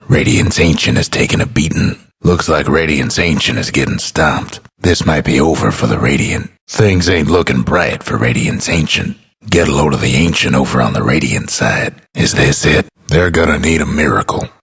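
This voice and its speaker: Very deep, husky frontier announcer. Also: male voice, normal speed, fairly bright tone, full character voice. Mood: dry, matter-of-fact. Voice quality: husky, gravelly. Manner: folksy, understated, dryly wry. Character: laconic, wry, folksy frontier narrator announcing battles. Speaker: game announcer. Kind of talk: scripted game announcer lines, drawling western narration.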